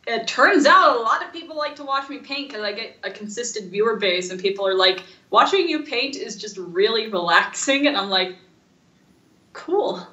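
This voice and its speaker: A woman co-hosting a casual podcast, rambling through stories in an animated, informal way. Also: fairly high pitch, normal speed, reverberant, lo-fi sound. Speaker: podcast co-host. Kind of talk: casual chat.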